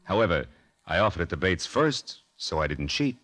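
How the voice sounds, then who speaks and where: An actor playing a smooth, sly high-rolling gambler in an old-time radio drama, casual and understated in his confidence. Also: big pitch swings, moderate pace, fairly bright tone, exaggerated voice. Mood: self-assured.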